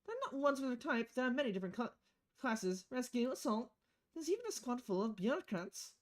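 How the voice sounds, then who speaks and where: A woman's voice: on the high side, animated, brisk, close to an everyday voice. A woman voicing an earnest, modest female knight, chatting in a friendly way in a video game. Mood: matter-of-fact.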